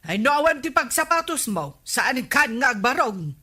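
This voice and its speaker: Sharp-voiced, scolding housewife. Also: female voice, sits high, big pitch swings, normal speed, breathy edge, full character voice. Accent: Ilocano Filipino. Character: scolding, unimpressed woman of the household in a comedy. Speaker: comedy drama actor. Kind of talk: Ilocano radio comedy acting.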